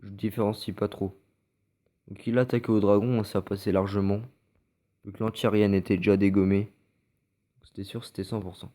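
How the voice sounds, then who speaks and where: A French-accented man talks through recorded game matches in a relaxed, rather low voice. He is stuffy from a cold and keeps stopping to restart with fillers. His tone stays mellow and soft throughout. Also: normal speed, pauses often. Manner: hesitant, many fillers and restarts. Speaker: gameplay commentator. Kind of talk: casual gameplay commentary over recorded matches.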